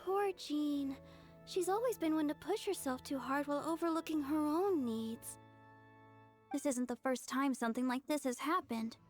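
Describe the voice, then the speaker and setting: Actress as high-voiced, earnest young woman. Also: moderate pace, soft background music, close to an everyday voice. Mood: concerned. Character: sweet, earnest young woman, easily flustered and devoted. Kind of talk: English anime game voice acting.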